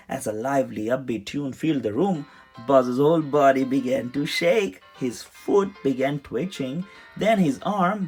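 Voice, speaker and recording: Animated storyteller with a husky edge, music behind. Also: mid-range voice. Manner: animated, dramatic delivery. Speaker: storybook reader. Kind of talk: expressive read-aloud storytelling for children. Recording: music under the voice.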